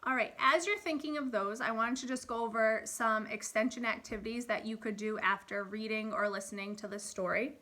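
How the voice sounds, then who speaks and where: A woman with a fairly high, very bright voice speaks as a primary school teacher. She is expressive and instructive, guiding young listeners with questions. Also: brisk, few pauses. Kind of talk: read-aloud and lesson for young children.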